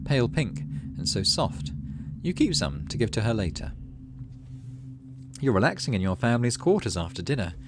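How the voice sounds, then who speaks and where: A man's voice: medium pitch, sing-song, moderate pace, pauses often, noisy background. A man hosting a game playthrough, reading story text aloud expressively and adding casual asides. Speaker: gaming channel host.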